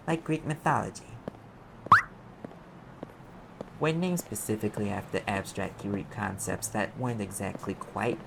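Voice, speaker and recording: Man acting a gravelly, sarcastic private eye. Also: lowish voice, heavy background noise. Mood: lecturing, sardonic. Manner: sarcastic, exasperated, conversational explaining. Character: sarcastic male private eye mage, impatient and wisecracking. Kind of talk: audio roleplay acting, one side of a dialogue.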